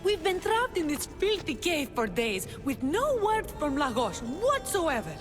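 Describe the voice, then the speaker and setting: An anxious, petulant small child from a video game. The voice is high and swings about a lot, with faint music behind. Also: female voice, sing-song, a little slow. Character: anxious, petulant little child held captive. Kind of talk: dramatic video game dialogue.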